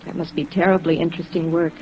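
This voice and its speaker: An actress playing a lonely widow in a 1950s radio drama, confiding in a hesitant, melancholy, wistful manner. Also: female voice, deep voice, fast, faint music behind, lightly acted. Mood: wistful, friendly. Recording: muffled vintage radio recording, steady rain noise.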